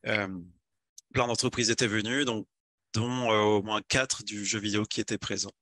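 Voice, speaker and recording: A young man from France talks through his slides in a halting, casual way, pausing on fillers and restarting his sentences. His voice sits a little low but sounds bright and forward. The recording is dry and close, with no room sound. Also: little pitch movement, dry recording. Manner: hesitant, many fillers and restarts. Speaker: video game developer.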